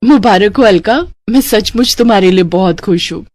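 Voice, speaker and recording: Woman as a meek, gentle daughter-in-law. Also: medium pitch, normal speed, lightly acted. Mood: mild. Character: meek, kind, hardworking elder daughter-in-law. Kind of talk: cartoon story voice acting.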